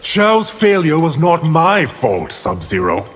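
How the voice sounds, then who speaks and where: Slow, theatrical scheming sorcerer villain. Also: male voice, on the high side, very animated, heavy background noise. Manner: measured, theatrical, sneering delivery. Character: scheming, smug male sorcerer villain, menacing and mocking. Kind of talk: video game character dialogue.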